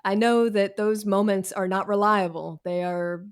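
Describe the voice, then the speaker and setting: A woman talks as a guest writer in a conversational interview. She is frank and quick to poke fun at herself, using plenty of casual slang. Her pitch sits in the middle for a woman. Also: fairly even intonation, clear, resonant tone. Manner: candid, self-deprecating, slangy.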